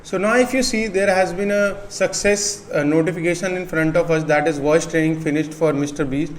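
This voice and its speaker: A man with an Indian accent presenting a step-by-step software tutorial. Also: fairly high pitch, steady tone, a little fast, slight background noise.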